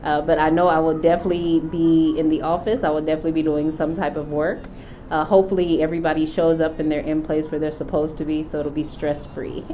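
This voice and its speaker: A woman with a deep, low voice speaks slowly and thoughtfully, pausing often as she reflects on her work. She is a founder from the United States, and a faint hum sits under the recording. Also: flat delivery, dark tone. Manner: unhurried, thoughtful, many filler pauses. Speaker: company founder and CEO. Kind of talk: reflective personal testimony.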